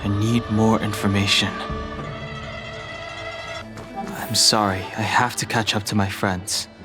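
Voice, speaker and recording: A man's voice: on the low side, little pitch movement, moderate pace, over music, close to an everyday voice. A man playing a brooding, reserved young warrior in a video game, serious and formal. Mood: resolute.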